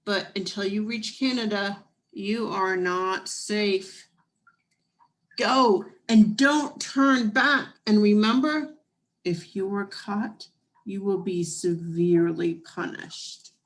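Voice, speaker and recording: Woman voicing slow, solemn Aunt Harriet, storybook guide. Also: mid-range voice, fairly even intonation, lightly acted, rough recording. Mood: solemn warning. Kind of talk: children's storybook reading.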